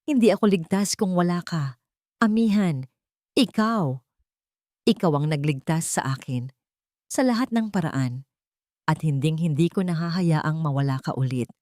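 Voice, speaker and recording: Woman voicing a remorseful, low-pitched billionaire man in love. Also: lively intonation, measured pace, pauses often, dark tone, no room sound, clean studio sound. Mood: tender, earnest.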